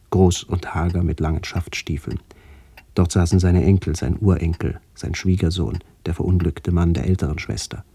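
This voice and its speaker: A man playing a somber first-person narrator in a literary radio play, speaking in a measured, descriptive way. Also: low-pitched, mellow, breathy edge. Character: somber male first-person narrator remembering his aged aunts.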